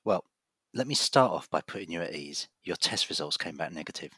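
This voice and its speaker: A male family doctor, played by an actor, speaks with professional, measured earnestness. His voice is low and rough-edged yet bright and forceful, recorded dry for an audio drama. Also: lively intonation, no echo, full character voice. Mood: reassuring, concerned. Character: reassuring, persistent male family doctor with a secret.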